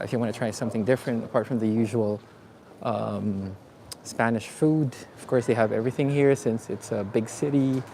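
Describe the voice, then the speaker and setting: A man from the Philippines hosting a live walking tour outdoors, talking in a relaxed, chatty way with many fillers. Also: lowish voice. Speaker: vlogger. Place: city streets outdoors. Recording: faint street noise outdoors. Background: street traffic and ambience.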